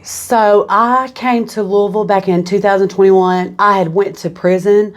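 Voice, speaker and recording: A woman's voice: medium pitch, fairly even intonation, moderate pace. A young woman from southeastern Kentucky with a Kentucky accent, a guest on a podcast who talks casually with lots of "you know what I'm saying". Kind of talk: casual interview.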